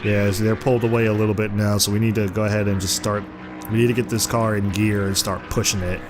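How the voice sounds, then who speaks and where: A man with a sore, congested throat calls his racing game like a race broadcast, talking casually between the laps. Loud game music and engine noise run under his voice. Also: lowish voice, fast talker. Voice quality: rough, congested voice. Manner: excited play-by-play, rising sharply at crashes. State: hoarse and congested from the flu. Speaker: gaming commentator.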